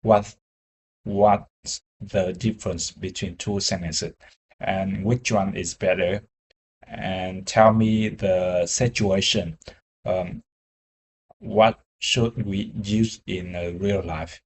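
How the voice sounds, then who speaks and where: Slow, hesitant Vietnamese-accented man. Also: sits low, steady tone. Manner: hesitant, searching for words, restarts often. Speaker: English learner. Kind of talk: conversation practice.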